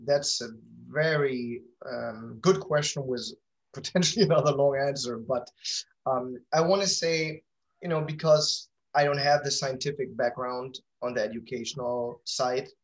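Man giving halting interview answers. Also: mid-range voice, poor audio. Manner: halting, repeats words as he thinks. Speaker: interview guest, company founder. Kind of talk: casual interview talk.

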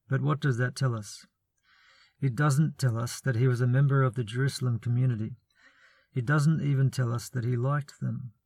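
A man with a mid-range voice gives a measured, scripted talk on biblical scholarship, reasoning step by step with a lightly wry edge. He is recorded close up in a dry, dead room with no echo. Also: medium pitch, a little mellow. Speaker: biblical studies presenter.

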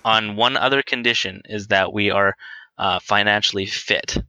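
A man in his late twenties talking candidly and casually as a guest, hesitant and halting, feeling his way through his answers. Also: lowish voice, steady tone, pushed and bright, dry recording, dull audio. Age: twenty-nine. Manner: hesitant, halting, self-conscious. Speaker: interview guest on a podcast.

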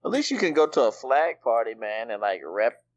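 A man's voice: medium pitch, sing-song, normal speed, dull audio. A man co-hosting a podcast, telling a story with enthusiasm and slang. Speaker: podcast co-host. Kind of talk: casual chat.

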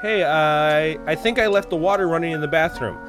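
Casual male adventurer for a radio play, slightly high voice, music underneath. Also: a little fast. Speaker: adventurer in an audio drama. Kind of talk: casual audio drama acting.

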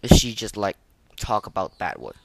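Casual podcast host, sex ambiguous by ear, mid-low voice, halting and opinionated, close dry sound. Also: very animated, vocal fry, no room sound, poor audio. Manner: hesitant, halting, rambling delivery; opinionated, slangy. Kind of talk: casual unscripted game review commentary.

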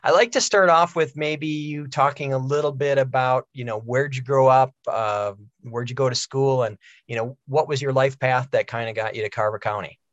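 A man's voice: medium pitch, fairly even intonation, normal speed, few pauses, dry recording. Guy hosting an interview, easygoing questions, kinda muffled and dead dry sound. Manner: friendly, loose questions with fillers. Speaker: interview host. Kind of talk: casual interview.

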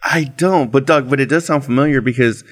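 A man's voice: mid-range voice, fairly quick. A young man hosting a podcast in casual, profane banter, teasing his friend and laughing a lot. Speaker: podcast host.

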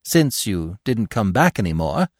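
A man voicing an excitable, gossipy little male squirrel with a shrill, eager voice. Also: unhurried, no room sound, clean studio sound. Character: excitable chattering male squirrel, small and nosy.

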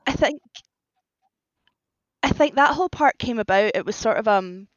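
A woman guest writer chatting in a casual interview, animated and a little self-deprecating, on slightly muffled audio. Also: on the high side, normal speed, no echo. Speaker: guest fan fiction writer.

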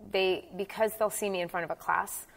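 A woman's voice: medium pitch, steady tone, fairly quick. A woman from New Jersey, a dance instructor guest on a podcast, telling long chatty stories and laughing easily. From New Jersey, USA. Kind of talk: casual interview chat.

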